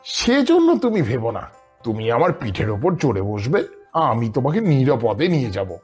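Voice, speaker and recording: Man voicing a husky, foolish, soft-hearted crocodile. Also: medium pitch, wildly swooping pitch, moderate pace, soft background music. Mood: friendly. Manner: earnest, simple-minded. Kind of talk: children's cartoon fable acting.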